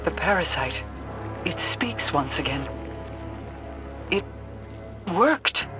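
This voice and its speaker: A woman's voice: sits low, very animated, slow, full character voice. An actress playing an imperious, secretive alien queen in a video game, speaking in formal, clipped, aloof phrases. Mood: grave, guarded. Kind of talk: scripted video game dialogue. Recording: music behind the voice.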